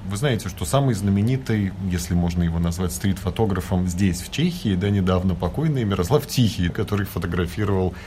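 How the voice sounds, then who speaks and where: A man interviewing a photographer at an exhibition. His voice sits low, and he asks probing questions in an easy, conversational way. Faint background noise runs underneath. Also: lively intonation, brisk, hardly any pauses, warm tone.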